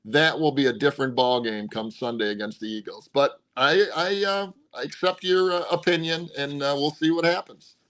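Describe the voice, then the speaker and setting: Male co-host of a sports show, fairly high voice, animated and talkative. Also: normal speed, few pauses. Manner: animated, drops pitch to stress points, frequent restarts. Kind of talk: casual sports picks chat.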